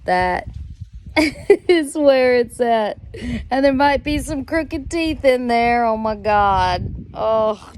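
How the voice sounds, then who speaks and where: An American woman telling rambling personal stories casually as a host, in a drawn-out way with some swearing. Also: fairly high pitch, a little hiss or hum. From United States. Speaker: host of a personal storytelling show.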